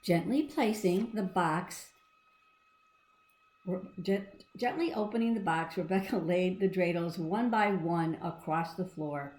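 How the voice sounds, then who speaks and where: Female storybook reader for young children, expressive and conversational, recorded in a reverberant room. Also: on the low side, measured pace, slight background noise. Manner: expressive, asking the listener questions. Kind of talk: children's read-aloud with asides to young listeners. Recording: echoey room.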